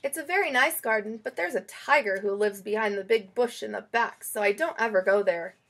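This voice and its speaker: A woman reading a children's picture book aloud in an expressive, storytelling way. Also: medium pitch, fairly quick. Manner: expressive, acts out quoted lines.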